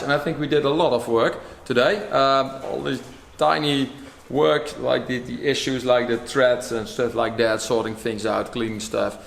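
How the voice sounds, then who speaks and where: A man's voice: mid-range voice, creaky. A Dutch man with a Dutch accent, hosting a workshop vlog and wrapping up the day hesitantly with many fillers. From Netherlands. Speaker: vlog host. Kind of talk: casual vlog wrap-up. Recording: echoey room with faint noise.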